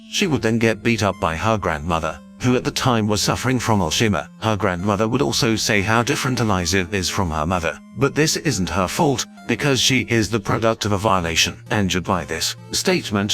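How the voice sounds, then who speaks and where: A man's voice: lowish voice, a bit bright. A man narrating a scripted recap of a story for a video.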